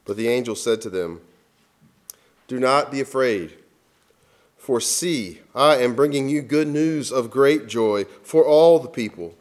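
A man reading scripture at a church service in a measured, formal delivery. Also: medium pitch.

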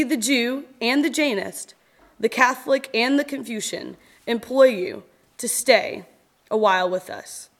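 A woman giving a solemn, expressive reading of scripture and poetry in a church service, with measured phrasing and deliberate pauses. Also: on the high side.

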